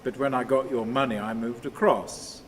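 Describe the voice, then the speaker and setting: An actor playing a haunted, restless man in a radio play monologue, halting and repetitive, moving between muttering and command. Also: mid-range voice, natural voice. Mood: rambling, bitter reminiscence. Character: haunted, rambling man talking to his dead father, brooding and restless. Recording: clearly noisy, steady ambient sound.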